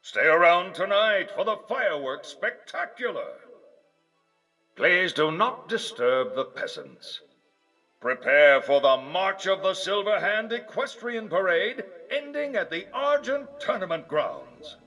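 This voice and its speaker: An actor playing a noble paladin who hams it up as a theme park announcer in a video game, courtly and grandiose. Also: male voice, sits high, very animated, a little slow, sharp tone, faint music behind, exaggerated voice. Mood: grand, cautioning. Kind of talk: scripted game announcer voice lines.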